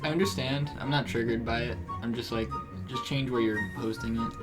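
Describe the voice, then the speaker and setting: A young man in casual group chat, blunt and quick to agree, with music behind. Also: mid-range voice, steady tone. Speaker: panel member.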